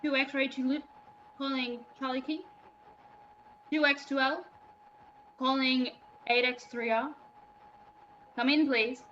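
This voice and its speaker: Woman acting anxious high-voiced radio operator, muffled. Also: fairly even intonation, takes it slowly, pauses often, fairly bright tone. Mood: searching. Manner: repetitive call-sign phrases. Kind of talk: 1930s radio play acting. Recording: dull lo-fi sound, faint noise.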